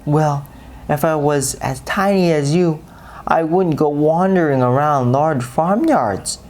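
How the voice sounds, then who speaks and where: A woman voicing a big, strong, kindly storybook gentleman with a hearty, reassuring manner. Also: deep voice, slow pace, voice pushed up. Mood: friendly.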